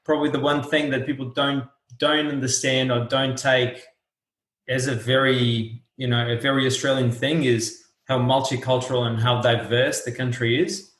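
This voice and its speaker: Relaxed guy in his 30s from portugal, portuguese first language, chatting in a roomy echo. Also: medium pitch, normal speed. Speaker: guest. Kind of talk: casual interview chat.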